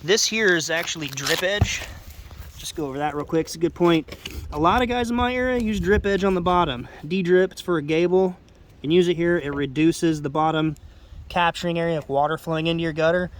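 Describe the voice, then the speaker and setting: A man's voice: a little high, slight background noise. A man with a congested, nasal voice giving an informal, unscripted hands-on explanation as a roofer, in a plainspoken, rambling way. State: congested nose. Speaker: roofer presenting his own video. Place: outdoors on a house roof.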